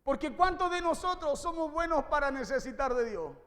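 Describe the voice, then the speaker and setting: High-pitched male preacher in a reverberant hall. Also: lively intonation, normal speed, fairly bright tone. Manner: exhorting, repeats phrases for emphasis. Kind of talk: impassioned sermon to a congregation. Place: large reverberant room, preaching to a congregation.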